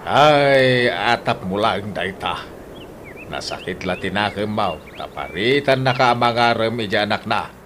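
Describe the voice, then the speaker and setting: A man's voice: on the low side, expressive, a little slow, a bit bright, slight background noise. A man playing a skeptical, scolding father in a comedic radio drama, blunt in manner, with a Filipino accent.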